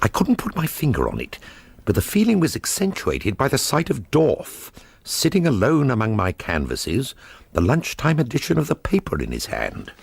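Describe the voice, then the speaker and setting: An actor playing an anxious, wry forger caught up in a murder case in a radio drama, hesitant and doubtful. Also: male voice, medium pitch, huge pitch swings, normal speed, gravelly, breathy edge, no room sound, heavily acted. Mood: wry narration. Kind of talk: radio drama acting with first-person narration.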